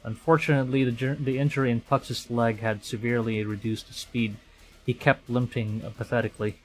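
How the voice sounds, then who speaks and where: A man from the Philippines streaming a game, thinking aloud in a halting way and reading story text aloud. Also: medium pitch, level tone, rough recording. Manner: halting, thinking aloud, stumbling over read lines. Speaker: VTuber streamer. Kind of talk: live game playthrough commentary, reading visual novel text aloud. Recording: background game music. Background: game music.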